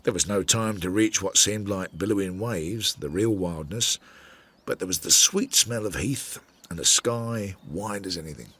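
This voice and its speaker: A man's voice: low-pitched, moderate pace, a bit bright, breathy edge. An actor playing a reflective, wry police detective who narrates his own investigation in a radio drama. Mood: wry, musing. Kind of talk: scripted first-person radio drama narration.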